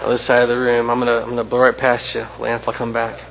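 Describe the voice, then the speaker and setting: A man's voice: medium pitch, monotone, quick pace, vocal fry, dull audio, crowd noise, background noise. A man leading a church gathering, passing the mic and giving brief affirmations. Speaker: leader of the gathering. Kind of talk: moderating a testimony meeting.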